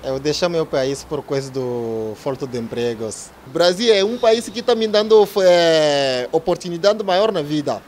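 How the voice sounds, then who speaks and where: Brief: man from Togo, interviewee, slightly high voice, halting non-native Portuguese, faint street noise. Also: expressive. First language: not Portuguese. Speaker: interviewee, tailor. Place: small clothing shop.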